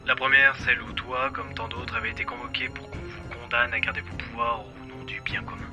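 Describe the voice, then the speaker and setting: An actor playing a menacing, mocking villain in an audio drama, theatrical and dropping into hissed whispers. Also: male voice, mid-range voice, level tone, a little fast, over music, close to an everyday voice. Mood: sinister, taunting. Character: menacing male villain, sly, mocking, power-drunk hostage taker. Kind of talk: French audio drama acting.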